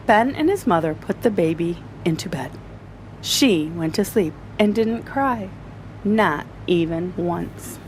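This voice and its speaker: A woman reading a children's story aloud in an animated, deliberate storytelling style. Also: lowish voice, a little hiss or hum.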